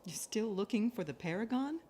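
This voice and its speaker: A woman's voice: mid-range voice, lively intonation, brisk, mellow, slightly rough. An actress playing a proud, vengeful and commanding woman in an audio drama, with solemn, imperious wording. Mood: wry, fond. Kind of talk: dramatic audio adventure acting.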